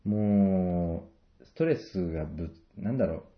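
A man chatting casually as a guest stage actor on a radio show, joking in a deadpan way. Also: low-pitched, a little slow, muffled recording.

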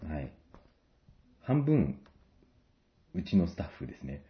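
Low-voiced, animated, playful man. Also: unhurried. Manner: playful, joking, bantering. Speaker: guest, stage actor promoting a play. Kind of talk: casual chat with announcements. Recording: slightly dull, lo-fi audio.

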